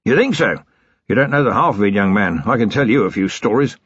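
Brief: narrator putting on jolly old army colonel, raised lively voice, husky edge. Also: male voice, lowish voice, fairly quick, dry recording. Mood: hearty. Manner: hearty, rambling, cheerful. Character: bluff elderly male retired cavalry colonel. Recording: dry, slightly dull recording.

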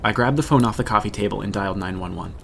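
A man's voice: on the low side, creaky, fairly bright tone, slight background noise. An actor playing a haunted, regretful man who solemnly narrates a horror audio drama about his teenage past. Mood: grim, tense. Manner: deliberate, solemn, ominous storytelling.

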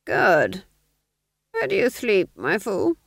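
A woman's voice: medium pitch, huge pitch swings, takes it slowly, vocal fry, no room sound, studio quality, pitched above the performer's own voice, heavily acted. A woman voicing a scheming middle-aged male duke who commands with falsely friendly, silky menace. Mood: silkily coaxing.

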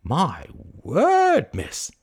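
A man's voice: fairly high pitch, huge pitch swings, a little fast, a bit bright, voice pushed up, heavily acted. A man voicing a jovial, chatty old street fruit vendor with folksy, exclamatory patter, in an audiobook. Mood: amazed, kindly. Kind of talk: audiobook character voice.

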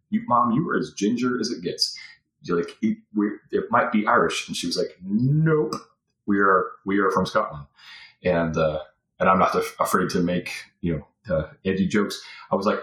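Deep-voiced man rambling in an echoey room. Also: fairly bright tone. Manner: rambling, many fillers and restarts. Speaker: podcast guest. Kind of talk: casual interview talk.

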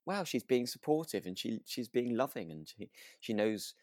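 A man's voice: medium pitch, very animated, normal speed, no room sound, clean studio sound. A British man co-hosting a casual discussion of a radio drama, hesitant and often rephrasing himself as he goes. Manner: hesitant, circling phrasing with self-interruptions. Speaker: podcast co-host.

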